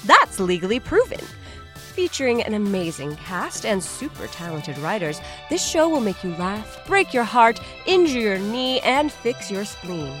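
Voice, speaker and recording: A woman hosting a podcast with a scripted comedic promo, playful and theatrical with deadpan asides. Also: medium pitch, measured pace. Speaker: podcast host. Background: faint music.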